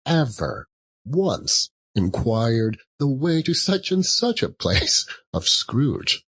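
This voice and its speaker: Slow, husky theatrical male storyteller. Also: mid-range voice, sing-song, a bit bright. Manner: dramatic, deliberate, lingering on words. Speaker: audiobook narrator. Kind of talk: theatrical scripted storybook narration.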